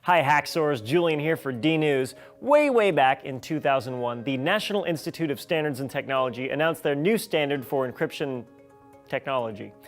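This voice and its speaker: A man's voice: on the high side, expressive, normal speed, soft background music. A man hosting a scripted science explainer in a wry, playful manner. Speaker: science show host.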